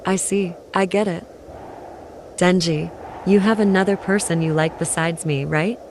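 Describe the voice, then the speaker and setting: A sweet-talking young devil woman, acted out by a woman for a manga dub. Her voice sits somewhat low, soft and coaxing with calm menace. Clear street noise runs through the recording. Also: unhurried. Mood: gentle coaxing. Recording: noisy track with street ambience.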